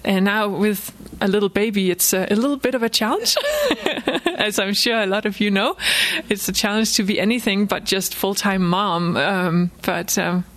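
A woman from Denmark, a native Danish speaker with a Danish accent, speaks informally and haltingly as an author addressing an audience. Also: mid-range voice, a bit bright. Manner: halting, frequent self-repetition, chuckles often. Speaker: author giving a book talk. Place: live book event before an audience, recorded for a podcast.

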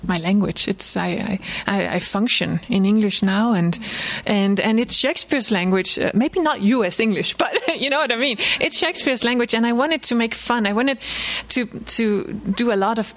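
A woman author with a Danish accent, a native Danish speaker, talks informally to an audience. Her delivery is halting, with words doubled and restarted as she thinks aloud. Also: medium pitch, fairly bright tone. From Denmark. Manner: halting, frequent self-repetition, chuckles often. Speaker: author giving a book talk. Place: live book event before an audience, recorded for a podcast.

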